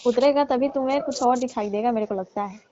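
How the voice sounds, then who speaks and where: A woman acting as a middle-aged married aunty with a fairly high voice, coy and teasing. She draws out her complaints flirtatiously in a comedy call skit. The audio is dull and lo-fi, like a recorded phone call. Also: fast talker, dark tone, slight background noise, lightly acted. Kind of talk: staged intimate phone chat in colloquial Hindi.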